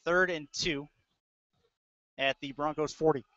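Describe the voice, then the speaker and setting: A man with a slightly high voice works as a football play-by-play announcer. He describes the action in short, clipped phrases. The sound is dry and somewhat dull, like a lo-fi webcast. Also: fairly even intonation, measured pace, pushed and bright, no room sound. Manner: clipped running description of plays. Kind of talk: live American football commentary.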